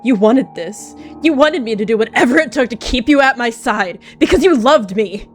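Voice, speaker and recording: A woman's voice: a little high, animated, normal speed, soft background music, voice pushed up, natural voice. A woman voicing a grim, possessive evil she-cat warrior, talking with pleading yet menacing intensity. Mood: fierce. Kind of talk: voice-acted roleplay dialogue.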